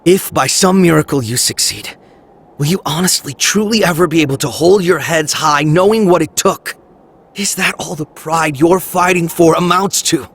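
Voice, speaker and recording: An actor playing an earnest, resolute young hero in a video game, speaking in solemn, heartfelt appeals. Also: male voice, fairly high pitch, normal speed, a bit bright, husky. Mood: solemn, pleading.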